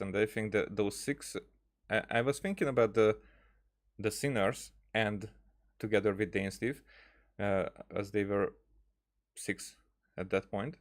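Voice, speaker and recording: A man giving casual game commentary, thinking aloud in a halting, rambling way. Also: lowish voice. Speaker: gaming streamer.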